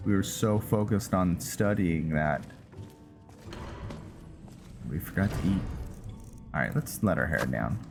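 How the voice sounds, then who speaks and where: A man casually commenting on his roleplay playthrough of a game, thinking aloud with hesitant pauses, game music behind him. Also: sits low, expressive, creaky. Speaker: gamer narrating a roleplay playthrough.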